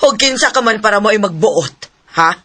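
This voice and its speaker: A woman's voice: medium pitch, animated, moderate pace, bright, forceful tone. A woman acting a domineering, scheming middle-aged mother in a melodrama, with a Cebuano Filipino accent. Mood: harsh, bossy.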